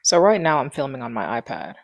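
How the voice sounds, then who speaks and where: A woman's voice: a bass voice, quick pace, creaky, dry recording, clean studio sound. A woman in her twenties chatting casually and rambling at home, with lots of fillers, on a clip mic. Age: 20s. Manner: relaxed rambling, many fillers. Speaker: vlogger. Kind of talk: casual chat. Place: living room at home. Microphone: wireless clip mic.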